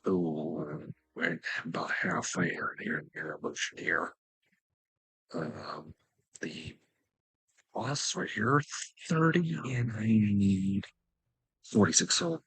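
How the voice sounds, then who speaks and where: Male gaming host, heavily husky with lots of fry, casual and halting as he thinks aloud. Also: on the low side, a bit bright, no room sound, rough recording. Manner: halting, muttering to himself.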